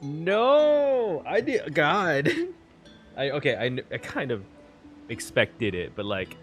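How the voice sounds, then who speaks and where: A man's voice: a little high, animated, takes it slowly, faint music behind. A man streaming a game, reacting casually and emotionally to the story, often laughing, trailing off and exclaiming.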